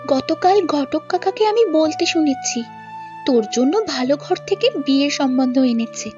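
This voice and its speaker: A woman's voice: sits high, animated, normal speed, music in the background. A woman voicing a vain, jealous young village sister in a cartoon fairy tale, complaining petulantly, in a dull lo-fi recording. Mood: resentful. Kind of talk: animated storybook dialogue.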